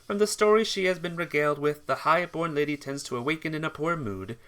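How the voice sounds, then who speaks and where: A man's voice: on the high side, a little fast, fairly bright tone. A man reading a visual novel aloud as amateur narration, sometimes stumbling and rereading phrases.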